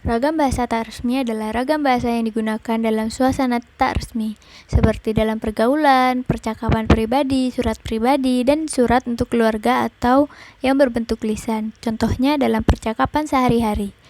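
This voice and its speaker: A young woman student reading a class presentation, explaining definitions and examples in an even way. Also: fairly high pitch, a little fast, background noise. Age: young adult.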